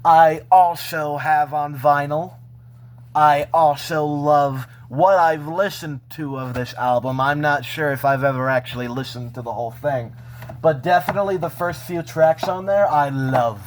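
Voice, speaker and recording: Slow-paced man with a slightly high voice. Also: slight background noise. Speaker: vlogger showing a personal collection. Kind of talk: casual unscripted show-and-tell.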